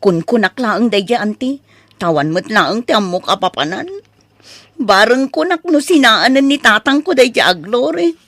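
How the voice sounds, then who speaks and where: A woman's voice: a little high, lively intonation, measured pace, a bit bright, dry recording, full character voice. A woman playing a stubborn, whining, jealous grown daughter in a comedy audio drama, speaking emphatically with an Ilocano accent. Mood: sulky plotting. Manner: emphatic, complaining, indignant.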